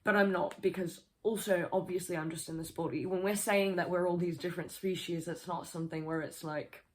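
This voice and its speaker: Chatty low-voiced woman vlogger. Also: steady tone, brisk, darkish tone. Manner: rambling, chatty, swears casually. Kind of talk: casual explanatory vlog.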